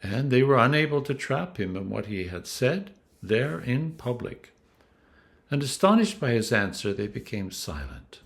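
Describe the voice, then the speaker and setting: A man reading scripture as a clergyman, with a measured, dramatic storytelling delivery. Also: medium pitch, sing-song, vocal fry.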